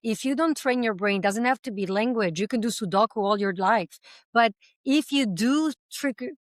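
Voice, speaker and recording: A woman's voice: fairly high pitch, normal speed, dry recording, studio quality. A woman who founded a language school, answering interview questions in a passionate, talkative way and drawing on everyday examples. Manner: speaks several languages.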